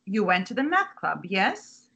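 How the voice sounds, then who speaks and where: A woman acting a nervous, doting, pushy widowed mother in a screenplay read, with a husky voice. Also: mid-range voice, very animated, a little slow, a bit bright, dull audio, exaggerated voice. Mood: doting, insistent. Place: indoors on a group video call. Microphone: video call.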